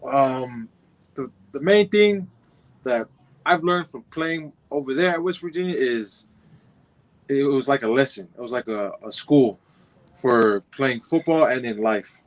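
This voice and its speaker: A young man from California talks loosely and unhurriedly, circling back on his thoughts with plenty of "you know" and "like." His voice sits at an ordinary middle pitch. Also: animated, a little slow. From Compton, California. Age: 20s. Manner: laid-back, rambling, many fillers and restarts. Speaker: football player guest. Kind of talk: casual interview.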